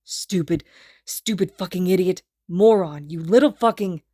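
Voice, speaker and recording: A woman's voice: fairly low pitch, normal speed, warm tone, no room sound, clean studio sound, natural voice. A woman reading a story aloud, voicing a furious, foul-mouthed teenage boy hero who roars, snarls and swears. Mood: growling.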